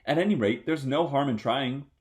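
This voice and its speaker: A man's voice: medium pitch, normal speed, lightly acted. A man voicing a polite, chatty, anxious little girl from a storybook, earnestly musing aloud.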